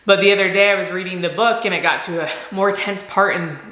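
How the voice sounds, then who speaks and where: A woman in her thirties, a homeschooling mom, chatting casually in a vlog, slightly nasal from a cold, upbeat and quick to laugh. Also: on the low side, steady tone, fairly bright tone, roomy sound. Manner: upbeat, laughs often, repeats words for emphasis.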